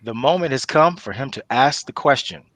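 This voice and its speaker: A man's voice: mid-range voice, expressive, moderate pace, a bit bright, dry recording. Man narrating in a dry sound. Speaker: narrator reading stage directions. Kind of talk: scripted narration at a comedy table read.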